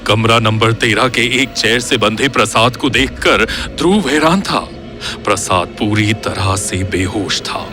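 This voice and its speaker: A man's voice: on the low side, measured pace, music in the background. A man narrating a scripted horror audio story in a suspenseful, dramatic manner.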